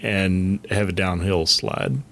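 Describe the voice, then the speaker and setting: Male guest, low-pitched, easygoing, brief agreeable replies. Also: little pitch movement, slow pace, fairly bright tone. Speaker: podcast guest. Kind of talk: casual chat.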